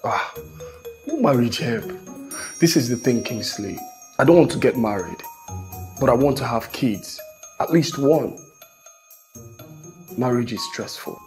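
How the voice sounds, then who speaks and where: A blunt, casual bachelor, played by a male actor in film dialogue. His voice is of average pitch and his manner is dismissive, with faint music in the background. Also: lively intonation, a little slow, long pauses, darkish tone, natural voice. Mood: matter-of-fact. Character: marriage-averse bachelor wanting children, blunt and casual. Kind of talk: Nollywood film acting.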